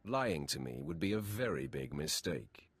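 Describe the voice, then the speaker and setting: An actor playing a cold, confident male dark warrior in a video game, terse, imperious and threatening. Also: a bass voice, big pitch swings, moderate pace, slightly rough, no room sound, exaggerated voice. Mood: cold menace. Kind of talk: video game cutscene acting.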